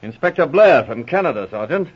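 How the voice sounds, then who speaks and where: A hearty county police chief from a vintage radio play, fairly high in pitch and very lively. He exclaims in a clubby, old-fashioned way over a muffled recording. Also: a little fast, breathy edge. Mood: brisk. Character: hearty English county chief constable, former army officer. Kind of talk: 1940s radio drama acting.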